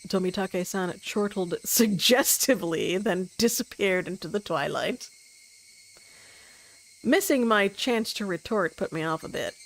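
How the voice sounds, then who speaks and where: A woman's voice: medium pitch, animated, measured pace, slight background noise, poor audio. A woman streaming a visual novel, reading narration aloud with giggly, amused asides. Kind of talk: live game stream with read-aloud narration and reactions.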